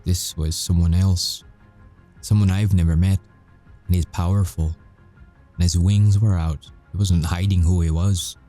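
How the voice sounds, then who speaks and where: Very dark, low-voiced wry werewolf soldier played by a man. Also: fairly even intonation, soft background music. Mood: grave, measured. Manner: wry, measured storytelling with dramatic pauses. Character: male werewolf soldier in rehab, cocky, haunted, wry. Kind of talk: audio roleplay monologue, ASMR.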